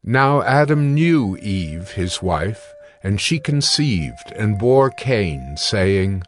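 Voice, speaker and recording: A man narrating scripture from a script in a measured, solemn manner. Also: lowish voice, very animated, soft background music.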